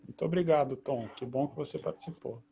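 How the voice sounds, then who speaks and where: Gravelly-voiced man hosting a video call. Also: fairly high pitch, fairly quick. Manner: relaxed, explanatory, loose phrasing. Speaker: host. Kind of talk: casual online meeting.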